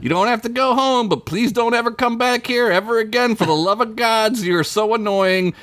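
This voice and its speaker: A man's voice: very high-pitched, moderate pace, a bit bright, no echo, pitched above the performer's own voice. A man voicing a blunt, fed-up bartender in a comic story, sarcastic and matter-of-fact.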